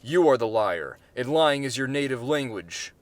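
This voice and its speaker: A man's voice: medium pitch, animated, moderate pace, dry recording, natural voice. A man voicing an angry crowd that accuses in a single voice. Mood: accusing. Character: angry crowd speaking as one.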